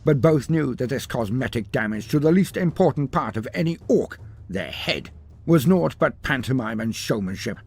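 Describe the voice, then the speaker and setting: A man delivering dramatic scripted narration of a fantasy battle story, theatrical, with deliberate pauses and emphasis. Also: mid-range voice, lively intonation, creaky, a little mellow, breathy edge.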